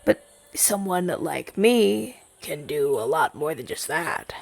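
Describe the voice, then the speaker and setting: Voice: medium pitch, expressive, takes it slowly, vocal fry, heavily acted. A man voicing a shy, earnest crow familiar in an ASMR roleplay, hesitant and rambling, with stammers. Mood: earnest, explaining.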